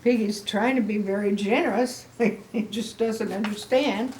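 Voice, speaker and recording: A woman's voice: mid-range voice, steady tone, measured pace, a little mellow. An older woman doing a children's read-aloud as a grandmother, with exaggerated emphasis and asides addressed straight to the listeners. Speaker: grandmother storybook reader. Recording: echoey room.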